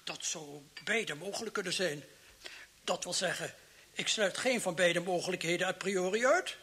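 An actor playing a muddled, pedantic toxicology professor in a vintage radio play, halting and repeating himself. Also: male voice, sits high, sharp tone. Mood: absent-minded. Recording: noisy old radio drama recording.

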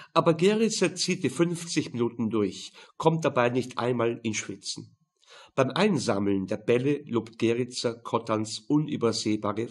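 A man's voice: mid-range voice, slightly rough. A man narrating a crime novel audiobook in a dry, matter-of-fact manner.

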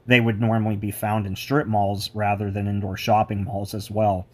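A man's voice: on the low side, flat delivery, moderate pace, darkish tone. A man giving an enthusiastic walking tour of a mall, mixing its history with chatty asides. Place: indoor shopping mall.

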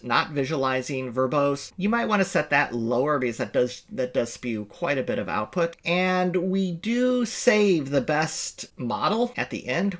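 A middle-aged man talks through code as a course instructor. He is relaxed and explanatory, often restarting a phrase mid-thought. He records at a desk in a home office. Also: mid-range voice. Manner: explanatory, self-correcting, relaxed. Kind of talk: screen-recorded coding lecture.

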